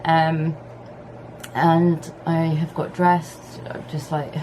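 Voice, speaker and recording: A woman chatting casually to camera at home with a husky, hoarse voice, rambling in an easygoing way. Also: sits low, little pitch movement, extremely slow. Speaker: vlogger. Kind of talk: casual vlog chat to camera. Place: home on a hot day, fan running. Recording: faint background hum of fan. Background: fan and pressure washer outside.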